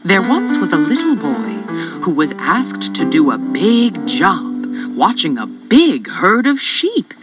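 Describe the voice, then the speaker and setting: A children's story narrator with a wildly animated, mid-pitched voice. The delivery is scripted, theatrical and at times rhyming, with prominent music underneath. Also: slow. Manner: expressive, theatrical. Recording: music behind, from cassette tape.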